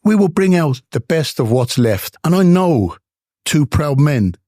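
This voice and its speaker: An older former boxer from Dublin with an Irish accent, telling stories emphatically in an interview. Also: male voice, a little high, sing-song, unhurried, mellow, no echo, clean studio sound. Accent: Dublin Irish with English traces. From Ireland. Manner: emphatic, repetitive storytelling.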